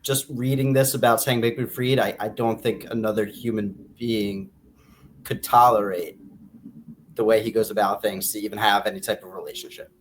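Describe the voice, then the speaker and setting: A man's voice: on the low side, fairly even intonation, moderate pace, a little hiss or hum. American guy cohosting a book podcast, casual chat, kinda hesitant, keeps rewording his points. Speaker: podcast co-host.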